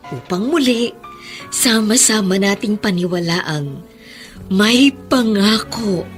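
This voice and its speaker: A Filipino woman playing a loving, patient middle-aged mother in a sentimental radio drama, speaking in a gentle, reassuring way. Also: medium pitch, takes it slowly, soft background music, natural voice. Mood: solemn, hopeful. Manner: gentle, reassuring, earnest advice-giving. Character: loving, patient mother and new grandmother, consoling her children.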